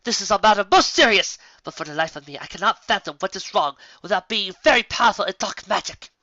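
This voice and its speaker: A haughty pony princess of the night, played by a man in a read-aloud fan story. The voice is raised high and sharp, with imperious, theatrical delivery and stiff old-fashioned wording. The recording is dry and somewhat dull. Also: animated, fast, no room sound, exaggerated voice. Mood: horrified.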